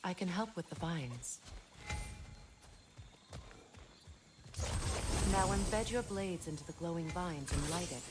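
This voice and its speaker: A woman acts out a proud but wounded goddess in a cinematic video game. Her voice sits low for a woman and sounds mature. She speaks in measured, earnest phrases with a wistful dignity, over clearly audible music. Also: close to an everyday voice. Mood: weary, reflective.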